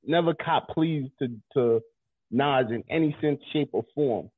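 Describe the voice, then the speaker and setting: A man's voice: mid-range voice, a little slow, slightly rough, dry recording, narrow, tinny audio. A congested man hosting a radio talk show, arguing insistently and often repeating himself. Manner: argumentative, insistent, repeats words. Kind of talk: casual debate chat.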